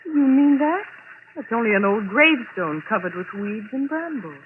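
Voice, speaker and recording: An actress playing a polite but spirited young secretary in a 1940s radio drama, prim and mildly defiant. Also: female voice, a little high, animated, normal speed, noisy background, lightly acted. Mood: puzzled, hesitant. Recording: narrow old radio recording, music behind.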